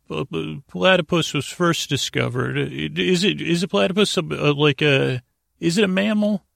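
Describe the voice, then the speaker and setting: Creaky-voiced man, meandering podcast host. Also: medium pitch, a bit bright, no echo, clean studio sound. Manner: meandering, circles back, loses his thread. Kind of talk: rambling bedtime podcast monologue.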